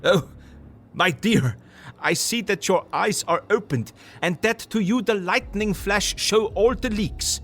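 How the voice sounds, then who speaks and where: An actor playing an older, earnest professor in an audio drama, lecturing emphatically in quaint broken English. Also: male voice, high voice, a little slow, a bit bright, heavily acted. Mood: delighted, encouraging. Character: older male foreign professor, earnest, fervent, philosophical lecturer. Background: music.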